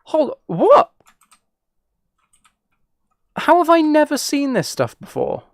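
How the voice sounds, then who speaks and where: Creaky-voiced British man, chatting casually. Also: very high-pitched, huge pitch swings, slow, no room sound, clean studio sound. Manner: informal, rambling, musing aloud. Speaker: game streamer chatting with viewers. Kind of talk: casual chat while playing a game.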